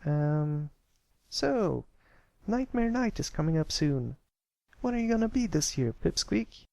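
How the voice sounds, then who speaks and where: Man voicing a high-pitched, folksy young filly pony. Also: big pitch swings, measured pace, gravelly, dark tone, dry recording, heavily acted. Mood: curious. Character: friendly, eager young country filly pony. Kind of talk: character voice in storybook reading.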